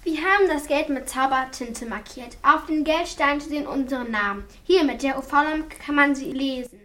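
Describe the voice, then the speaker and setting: A woman's voice: sits high, moderate pace, a bit bright. A young female performer playing an eager, practical girl detective in an amateur radio play. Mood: determined. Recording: noisy amateur recording with clicks.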